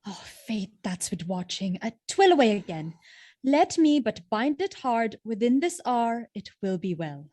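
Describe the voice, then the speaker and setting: A woman playing a gentle, devoted noble wife, tender and solicitous in a Shakespearean audio drama. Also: fairly high pitch, very animated, measured pace. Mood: tender, concerned.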